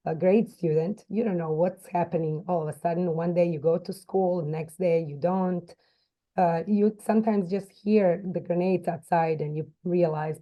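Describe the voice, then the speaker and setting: A woman's voice: on the low side, dark tone, rough recording. A woman in her forties from Croatia, a non-native speaker of English, earnestly telling her life story as a podcast guest with frequent restarts. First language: Serbo-Croatian. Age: 40s. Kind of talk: personal storytelling.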